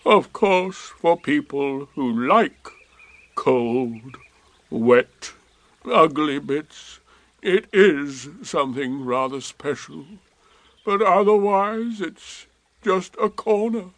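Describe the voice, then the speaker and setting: A man voicing a gloomy, sardonic old male donkey with a slow, drawn-out, mournful delivery in a storybook reading. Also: fairly high pitch, animated, fairly bright tone, no echo, full character voice.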